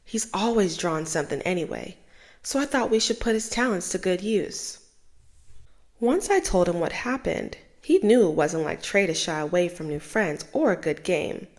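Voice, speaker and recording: A young woman host reading a children's book aloud with expressive, animated storytelling. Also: fairly low pitch, moderate pace.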